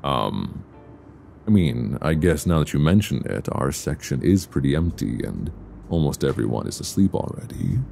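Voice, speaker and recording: Casting: male actor as tender, teasing boyfriend for ASMR audio roleplay; extremely low, gravelly voice with supple intonation, close binaural mic. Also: sing-song, soft background music, heavily acted. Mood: surprised, hesitant. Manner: soft teasing, unhurried, conversational with hesitant pauses. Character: affectionate, playful boyfriend on a luxury flight. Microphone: close binaural microphone. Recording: close binaural recording, faint background noise.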